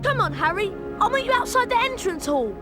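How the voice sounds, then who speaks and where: Excitable young British wizard boy for a game: very high, animated, blunt, cheeky, loud score underneath. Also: a little fast. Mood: casual, cheeky. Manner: blunt, complaining, casual. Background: loud music.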